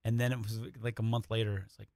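A man's voice: on the low side, level tone, fast, darkish tone, no echo, clean studio sound. An American man chatting casually as a guest writer, telling anecdotes and acting out what people said. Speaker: radio play writer and guest. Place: radio studio.

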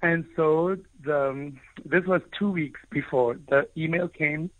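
A man's voice: on the high side, unhurried, dry recording. A man from South Africa whose first language is Afrikaans, an author telling his own story earnestly, often restarting his phrases as he searches for words. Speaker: author and guest. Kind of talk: reflective personal storytelling. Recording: tinny phone-like line.

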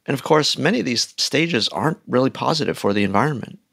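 A man co-hosting a podcast with a gravelly, creaky voice at a middle pitch. He talks in a thoughtful, musing way, and the recording is close and dry, with no room sound. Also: expressive, fast talker, fairly bright tone, no echo. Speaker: podcast co-host. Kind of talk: conversational podcast talk.

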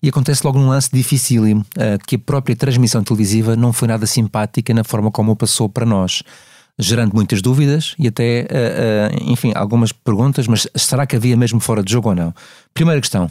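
A man with a European Portuguese accent explains football decisions on a podcast. He talks fast in a medium-pitched voice, teaching point by point in a conversational way. The sound is dry, with no room around it. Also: darkish tone, no echo. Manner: didactic, poses and answers own questions. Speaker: refereeing analyst on a podcast.

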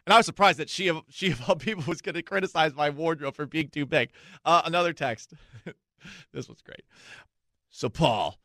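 A young man hosting a casual sports talk radio show, chatty and self-mocking with quick asides. His voice is dry and close, with no room sound. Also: on the high side, bright, forceful tone, dry recording.

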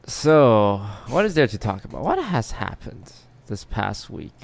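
A man's voice: on the low side, very animated, a little slow, gravelly. A man hosting a podcast, chatting casually. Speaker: podcast host.

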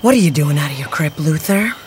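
Low-voiced, menacing vampire hunter. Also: female voice, lively intonation, fairly quick, noisy background. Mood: cool menace. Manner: dry, curt, threatening. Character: cool, impatient female vampire hunter, menacing. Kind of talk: fiction podcast acting.

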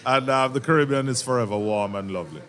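A man's voice: medium pitch, fast, a bit bright. A man with a Jamaican accent, a resort sales executive, talking casually in an interview outdoors by a pool, jovial and laughing often, sometimes repeating words.

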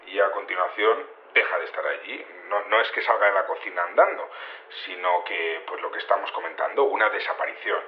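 An actor playing a matter-of-fact security investigator, explaining hesitantly over a phone-like radio link. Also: male voice, low voice, brisk, a little hiss or hum, natural voice. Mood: hesitant, earnest. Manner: hesitant, stumbling, earnest explaining. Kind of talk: news phone interview in audio fiction. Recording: roomy, narrow radio or phone line.

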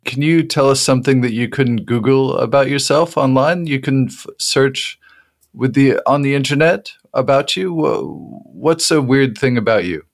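A man's voice: mid-range voice, normal speed, dry recording, studio quality. A man hosting a radio show, reading an upbeat segment and interviewing a guest.

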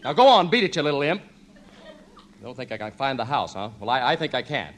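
A man's voice: mid-range voice, very animated, brisk, a bit bright. An actor playing a stubborn, flustered husband and father in 1950s radio comedy, stammering through his lines on a noisy old recording. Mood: irritated, defensive.

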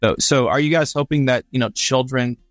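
A man's voice: medium pitch, fairly quick, a bit bright, no echo. A man working as an interviewer, chatting informally and appreciatively as he comments and asks questions. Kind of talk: conversational interview questions and remarks.

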